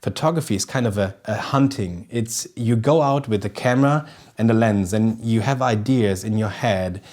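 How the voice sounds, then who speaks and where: A man's voice: lowish voice, moderate pace, darkish tone. A man in his thirties from Germany, speaking English with a German accent as a second language, presenting a casual photography tutorial to camera in a studio kitchen, eager and often restarting as he searches for words. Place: indoor studio kitchen set with festive decorations.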